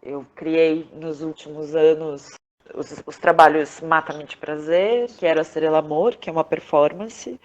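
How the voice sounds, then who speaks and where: Low-voiced Brazilian woman, thoughtful and halting. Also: darkish tone, muffled recording. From Porto Alegre, southern Brazil. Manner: halting, thoughtful, searching for words. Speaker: podcast guest, theatre director, playwright and actress. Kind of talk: reflective interview conversation.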